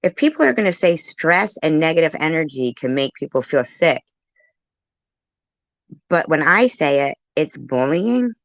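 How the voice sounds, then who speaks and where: A woman in a heated, informal argument, defensive and quick to contradict. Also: deep voice, sing-song, no room sound. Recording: muffled lo-fi audio.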